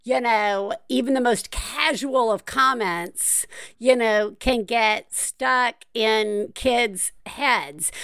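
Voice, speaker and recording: A woman from the Deep South hosting a podcast, chatting casually with lots of fillers and laughs. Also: drawn-out words, gravelly, fairly bright tone, smooth, no room sound, studio quality. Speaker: podcast host. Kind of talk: casual interview chat.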